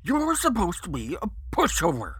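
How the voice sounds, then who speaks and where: Husky, patronizing henchman, swooping wildly. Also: mid-range voice, huge pitch swings, fairly bright tone, no room sound, voice pushed up, exaggerated voice. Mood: scornful. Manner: patronizing, drawn-out, emphatic. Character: patronizing, pompous chinless man, eccentric artist's henchman.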